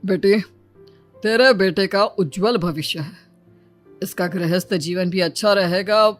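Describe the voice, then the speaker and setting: A solemn older priest , acted by a woman, delivers measured predictions in a mid-range voice. It is storybook dialogue with faint music underneath. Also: medium pitch, lightly acted.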